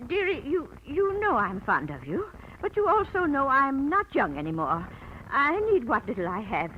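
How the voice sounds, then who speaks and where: An actress playing a fond but firm older shopkeeper, weary and fussy, in a 1940s radio drama. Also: female voice, sits high, sing-song, moderate pace. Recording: muffled old radio with hiss.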